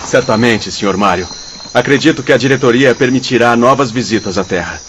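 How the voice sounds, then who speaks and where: An actor playing a stern but courteous commander of a spirit colony guard in a radio play, formal and authoritative. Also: male voice, mid-range voice, a little fast, fairly bright tone, a little hiss or hum, natural voice.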